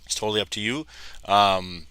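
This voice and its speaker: A man in his thirties, a little hoarse, casually talking viewers through live trading charts and calling them "guys". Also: lowish voice, normal speed, sharp tone, no echo. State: slightly hoarse, losing his voice. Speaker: trading educator. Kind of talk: live trading screen commentary.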